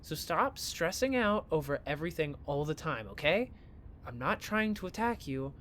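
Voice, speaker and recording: A man playing a flippant but caring young student in an audio drama, talking in a casual, sardonic, expressive way. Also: on the high side, moderate pace, slight background noise. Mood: teasing concern.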